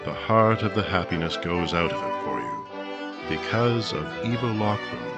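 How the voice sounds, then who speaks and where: A man narrating dramatically in the style of a 1950s radio drama. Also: very deep voice. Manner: dramatic, measured second-person storytelling. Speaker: narrator and announcer.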